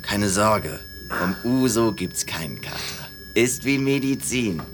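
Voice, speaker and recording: A man's voice: lowish voice, lively intonation, unhurried, over loud music. A man playing an offhand, soothing bartender in a horror audio drama, talking in short, clipped reassurances. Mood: dismissive, calm. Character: offhand, soothing male bartender, brushing off worries.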